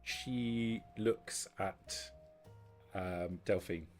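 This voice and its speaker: Low-voiced man narrating as game master. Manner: halting, explanatory. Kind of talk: improvised tabletop game narration. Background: soft music.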